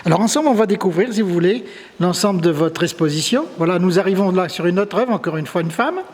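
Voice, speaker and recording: Interviewer, man with a high voice, formal questions, long reverb in the room. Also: fast talker, a little mellow. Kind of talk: interview guiding an exhibition visit. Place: tourist office exhibition hall. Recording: reverberant hall with faint ambience.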